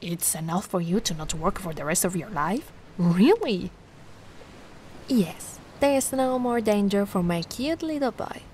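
A woman's voice: mid-range voice, animated, unhurried, darkish tone, a little hiss or hum, lightly acted. A woman from Italy playing a giant, affectionate, bubbly young mermaid in a cutesy, cooing ASMR roleplay. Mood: sweet, caring. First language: Italian. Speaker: roleplay performer.

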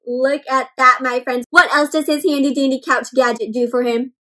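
A young woman doing an interactive read-aloud for children, exclaiming and putting questions to her young listeners in an animated way. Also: high-pitched, moderate pace. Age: young adult. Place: indoor room with bookshelves.

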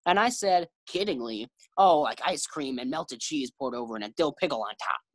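A woman plays an anxious radio sitcom husband in a low voice that leaps about in pitch. He is doting, befuddled and stammering, on a dry, close recording. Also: lively intonation, moderate pace, no echo. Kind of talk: 1940s radio sitcom acting.

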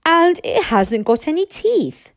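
A woman's voice: high-pitched, big pitch swings, takes it slowly, vocal fry, no room sound, clean studio sound, pitched above the performer's own voice, exaggerated voice. A woman voicing a pompous, blustering Viking chief and father in a storybook reading. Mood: anxious, hesitant. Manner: blustering, loud commands. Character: gruff, bellowing Viking chief father, pompous and easily impressed.

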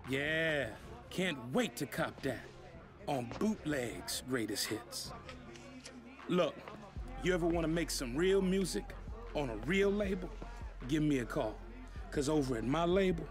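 Cocky rival label boss in a game, lively taunting voice, loud hip hop under it. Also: male voice, a little high, measured pace, music in the background. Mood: boastful. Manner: mocking, boastful street talk. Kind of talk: video game cutscene acting.

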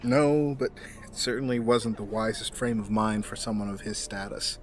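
A man's voice: lowish voice, soft background music, close to an everyday voice. Man acting as formal, menacingly genial businessman villain. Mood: nostalgic, genial. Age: middle-aged. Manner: formal, measured, condescending. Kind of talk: audio drama acting.

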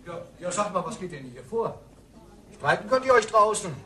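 A man's voice: sits high, very animated, moderate pace, sharp tone, full character voice. An actor playing an irritated, dismissive male shopkeeper in a radio play. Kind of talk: German radio play acting.

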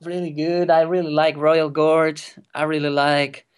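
A young man in his twenties, a non-native English speaker whose first language is Catalan, telling a wild kayaking story as a guest, excited and swearing freely. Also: on the high side, a little slow, smooth. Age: 20s. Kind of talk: casual storytelling interview. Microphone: remote call.

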